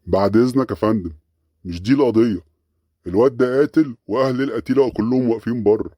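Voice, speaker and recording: Man as dutiful police sergeant, Egyptian accent, dark tone, brisk pace, harried, pleading deference, comic cartoon. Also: mid-range voice, dry recording, clean studio sound, close to an everyday voice. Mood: dutiful, urgent. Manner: urgent, deferential. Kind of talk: Egyptian comedy cartoon dialogue.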